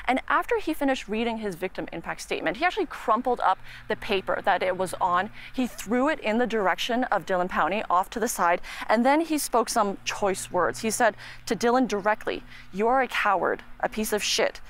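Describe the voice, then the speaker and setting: A woman with a Canadian accent giving a measured, earnest live news report as a reporter outside a courthouse. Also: mid-range voice, lively intonation. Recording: clear background noise, outdoor ambience.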